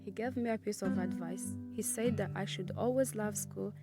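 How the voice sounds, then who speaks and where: A nineteen-year-old woman from Congo who grew up in Kenya, reading her personal narrative in a measured, earnest way. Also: medium pitch, music in the background. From Congo, raised in Kenya. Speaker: student narrator.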